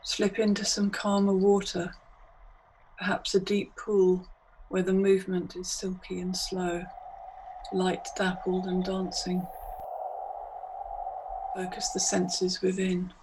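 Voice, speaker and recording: A woman leads a guided meditation at a very unhurried pace. Her phrases are short and hypnotic, set apart by long pauses, and her pitch stays flat and steady. An ambient soundscape and noticeable noise sit behind her voice. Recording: clearly audible background noise, ambient soundscape.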